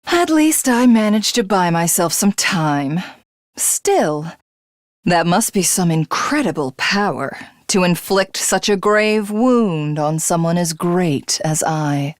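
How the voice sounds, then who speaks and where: A woman's voice: lowish voice, no room sound, studio quality. A woman voicing a haughty alien villainess in a video game, grandiose, theatrical and condescending. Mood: smug, ambitious. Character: haughty female alien commander craving godhood.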